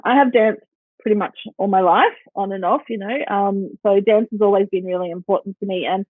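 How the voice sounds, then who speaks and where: An Australian woman in her forties, a guest on a podcast, talking easily with many pauses and laughs. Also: mid-range voice, no echo. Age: 40s. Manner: easygoing, laughs often, many ums. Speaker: guest, dance studio owner. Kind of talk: casual interview chat. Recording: tinny phone-like remote call.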